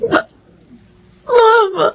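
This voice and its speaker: A very high, girlish voice plays a naive, earnest young Filipino woman. She is meek and respectful, pleading in a slightly muffled radio drama. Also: drawn-out words, gravelly, exaggerated voice. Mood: tearful, pleading. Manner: soft-hearted, pleading, respectful. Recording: dull lo-fi radio sound.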